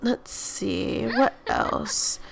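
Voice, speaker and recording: A woman's voice: medium pitch, wildly swooping pitch, very slow, creaky, low-quality recording. A woman giving casual, bubbly commentary while playing a life simulation game, chatting to her characters as she goes. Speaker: gameplay commentator. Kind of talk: casual let's-play commentary.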